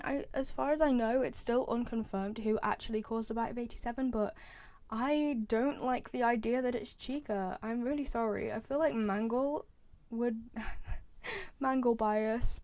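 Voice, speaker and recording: Young woman, video creator, casual fan commentary, chatty, repetitive emphasis, higher-pitched, dry close recording. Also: darkish tone, dry recording. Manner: chatty, gushing, repeats phrases for emphasis.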